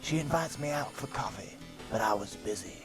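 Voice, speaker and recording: A man voicing a self-important, dim hardboiled private eye, narrating a deadpan film noir parody in a melodramatic way. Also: lowish voice, fairly quick, close to an everyday voice. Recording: music behind the voice. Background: background music.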